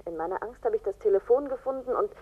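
A woman's voice: medium pitch, animated. A terrified young woman on a phone line. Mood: frightened. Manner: breathless, scared, halting. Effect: telephone filter. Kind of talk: 1960s radio drama acting. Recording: tinny phone line, breathy.

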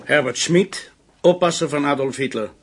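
An actor playing a dutiful, earnest police assistant in a radio drama, precise in his reporting, with a husky voice. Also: on the high side, normal speed, fairly bright tone, slight background noise, close to an everyday voice.

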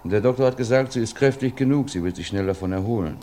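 A man's voice: mid-range voice, quick pace, mellow, slight background noise, lightly acted. An actor playing a plain-spoken, fatherly villager in an old radio play. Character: homely middle-aged male innkeeper, fatherly.

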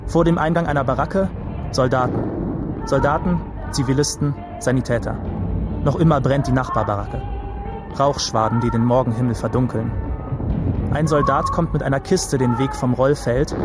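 A man's voice: medium pitch, normal speed, close to an everyday voice. A man playing a war survivor who recounts a flight from the front in short, matter-of-fact sentences for a radio play. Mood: sober, grave. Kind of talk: first-person radio play narration. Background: loud music and vehicle noise.

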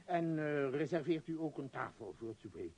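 A man's voice: on the high side, animated, normal speed, darkish tone, slightly rough. A man acting an imperious, absent-minded billionaire tycoon in a radio play, rambling and hesitant in a self-important way. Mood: bossy, distracted. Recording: muffled, dull radio play recording.

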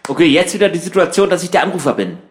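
A man's voice: sits high, very animated, rapid-fire, slight background noise. A man in a conversational comedy sketch, speaking in a matter-of-fact, skeptical way. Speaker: member of a comic radio play trio.

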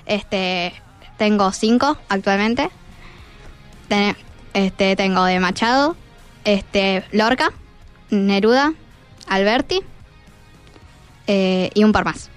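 Teenage girl, smooth clear voice, hesitant casual chat, student guest, faint music. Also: medium pitch, takes it slowly, pauses often. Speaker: secondary school student guest.

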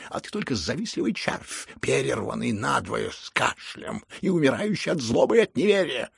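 Man acting a raging old general, raspy, swooping voice. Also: mid-range voice, no echo, studio quality, exaggerated voice. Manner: roaring, tragic, stammering when confused. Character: enraged drunken old retired general, pompous and wounded. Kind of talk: dramatized audiobook reading.

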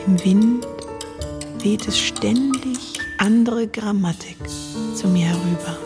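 A woman with a breathy, husky voice reciting poetic lines. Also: mid-range voice, slow pace. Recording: long reverberant hall, faint music. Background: faint music.

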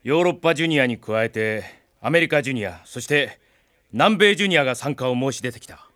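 An actor playing a composed adult team official in a cartoon, calm, formal and deliberate. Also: male voice, medium pitch, lightly acted. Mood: calm, serious.

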